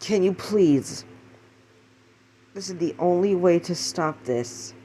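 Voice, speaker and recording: A man playing a desperate American official, pleading and halting, in an amateur radio-style drama. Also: sits high, warm tone, slight background noise, voice pushed up.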